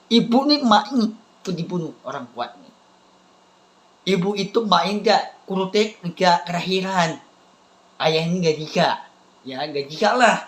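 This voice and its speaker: A man chats straight to his viewers. He talks in a rambling, halting way and keeps looping back to the same few phrases. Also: high-pitched. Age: 30s. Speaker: vlogger. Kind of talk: casual rambling talk to viewers.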